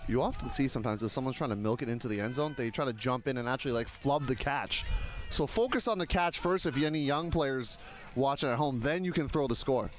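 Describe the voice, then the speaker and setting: A man's voice: mid-range voice, fast talker. Man in his thirties calling a game. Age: 30s. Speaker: sports commentator. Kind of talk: live play-by-play commentary with casual banter.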